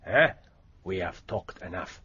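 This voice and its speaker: An actor playing a wily, jovial Japanese spymaster in a radio drama, with a Japanese accent and a formal, authoritative, deliberate delivery. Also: male voice, deep voice, expressive, bright, forceful tone, slightly rough, full character voice. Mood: insistent. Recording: dull, noisy old radio recording.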